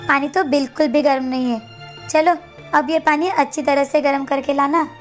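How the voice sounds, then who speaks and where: A woman's voice: high voice, fairly even intonation, moderate pace, natural voice. A woman voicing a jealous, cruel young stepsister girl, bossy and scornful, in a cartoon moral story. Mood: spiteful, scornful. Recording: background music under the voice.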